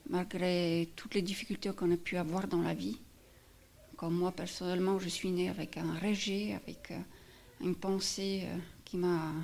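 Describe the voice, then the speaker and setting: Woman, gravelly even testimony. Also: fairly low pitch. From Poland. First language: Polish. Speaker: church member giving testimony. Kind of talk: personal testimony and prayer.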